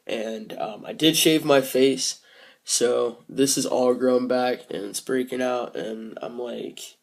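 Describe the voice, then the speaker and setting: A young man recording a casual video diary, speaking hesitantly with many fillers and restarts, soft and reflective. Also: medium pitch, steady tone, slow, creaky. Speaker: vlogger.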